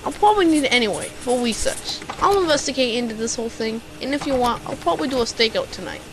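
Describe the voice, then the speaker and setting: A woman's voice: fairly high pitch, expressive, fast, noisy background. A woman playing a bumbling, self-important private detective in an amateur radio drama, with a pompous, theatrical delivery. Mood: eager, officious.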